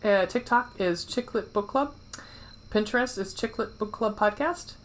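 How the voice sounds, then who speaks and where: A woman's voice: mid-range voice, moderate pace. A woman co-hosting a podcast in casual chat, informal and playful, with a habit of swearing. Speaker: podcast co-host.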